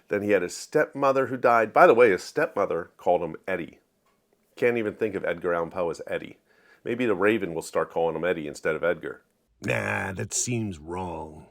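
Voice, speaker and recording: Male reviewer, lowish pitch, informal and conversational delivery with a playful streak of wordplay. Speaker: book reviewer, show host. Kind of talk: casual spoken book review.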